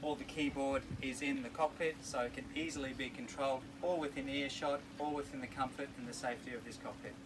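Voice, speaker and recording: A middle-aged man presenting a walkthrough tour aboard a moored sailing yacht as a yacht broker, in an upbeat, enthusiastic sales-pitch manner. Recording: distant mic with marina background noise.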